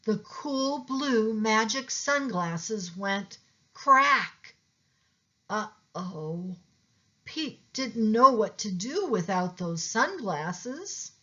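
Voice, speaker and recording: A woman reading a children's storybook aloud as a teacher, with expressive narration. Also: a little high, drawn-out words. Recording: slightly dull, lo-fi sound.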